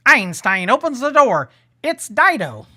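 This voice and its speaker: A woman's voice: a little high, big pitch swings, measured pace, pushed and bright. A woman narrating stage action in a scripted audio drama.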